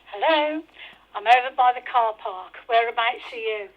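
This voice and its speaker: A woman's voice: fairly high pitch, normal speed. A woman making short, casual conversation over a walkie-talkie transmission. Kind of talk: short casual radio exchange. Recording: dull lo-fi two-way radio.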